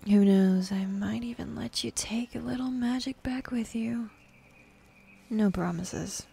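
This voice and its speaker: A young woman voicing a bossy, teasing demon woman in an intimate, half-whispered ASMR roleplay, with a husky, breathy voice and a sardonic drawl. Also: a little high, a little slow, dark tone, full character voice. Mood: coaxing, playful.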